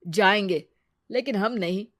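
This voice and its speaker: A woman voicing a reluctant, mild-mannered young grandson who protests gently in a family story. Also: medium pitch, a little slow.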